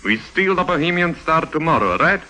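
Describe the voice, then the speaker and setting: An actor playing a commanding, calculating foreign crook in a 1950s radio drama, speaking formally and deliberately. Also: male voice, fairly high pitch, lively intonation, sharp tone, rough recording. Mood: coolly scheming. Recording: hissy old 1950s radio recording.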